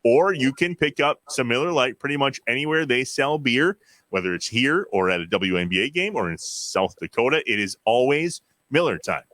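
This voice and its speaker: Lively, bright-voiced man hosting sports talk. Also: mid-range voice, normal speed, dry recording. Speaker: podcast host. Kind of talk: casual sports talk with sponsor reads.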